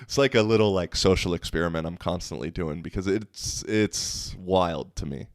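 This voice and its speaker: A man's voice: low voice, moderate pace, dry recording, studio quality. A young man hosting a podcast, telling a loose, profane, rambling story in casual monologue, full of fillers. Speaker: podcast host.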